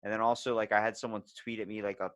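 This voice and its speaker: A man's voice: fairly low pitch, little pitch movement, very fast, fairly bright tone, smooth. A man hosting a podcast in casual, rambling conversation, informal and given to swearing. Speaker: podcast host.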